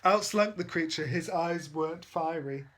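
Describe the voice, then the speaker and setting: Man, father at bedtime, expressive storybook narration, rhythmic rhyming delivery. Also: a little high, moderate pace.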